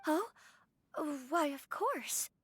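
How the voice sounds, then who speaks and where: High-voiced, refined young lady. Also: animated, drawn-out words, a bit bright, dry recording, full character voice. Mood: polite interest. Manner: polite, genteel, attentive. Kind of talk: video game voice acting.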